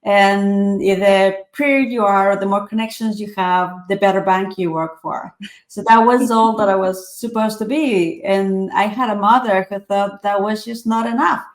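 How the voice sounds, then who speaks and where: A woman's voice: medium pitch, fairly even intonation, a little slow. Ecuadorian woman in an echoey room. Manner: earnest, reflective, many fillers and restarts. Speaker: author and tech speaker. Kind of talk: interview answers.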